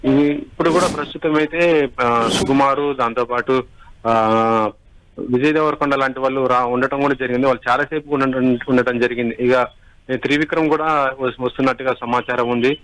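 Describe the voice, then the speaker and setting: A man giving a live unscripted news report, halting and repeating himself as he goes. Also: medium pitch, fairly even intonation, a bit bright, rough recording. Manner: halting, repetitive, restarts words.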